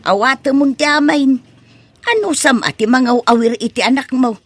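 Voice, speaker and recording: A woman's voice: high voice, moderate pace. A woman playing a blunt, disapproving older mother who gossips in an Ilocano comedy radio drama.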